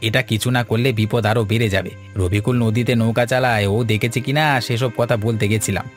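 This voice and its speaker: An earnest village man, played by a male voice actor in a folk-tale cartoon. His pitch sits in the middle range and his delivery is deliberate, with music playing underneath. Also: fairly even intonation, natural voice. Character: earnest village fisherman and his worried neighbours, adult men. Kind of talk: Bengali cartoon voice acting, folk-tale dialogue.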